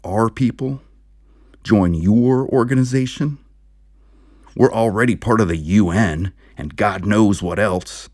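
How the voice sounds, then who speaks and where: A man voicing a gruff, bullheaded American small-town sheriff in an audiobook, blustering and yelling. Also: lowish voice, slow, dry recording, studio quality, pitched above the performer's own voice. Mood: indignant. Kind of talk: audiobook character voice.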